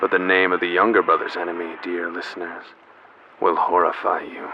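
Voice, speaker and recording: A young man playing a grave, ominous narrator of a 1940s radio play, portentous and measured. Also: sits low, level tone, lightly acted. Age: young adult. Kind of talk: dramatic scripted narration. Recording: dull radio-style audio, faint noise.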